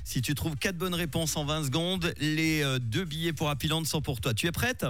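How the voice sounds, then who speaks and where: A man's voice: fairly high pitch, normal speed, a bit bright, no room sound. A man hosting a radio quiz game, playful and laughing often. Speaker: radio host. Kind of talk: lively radio game show.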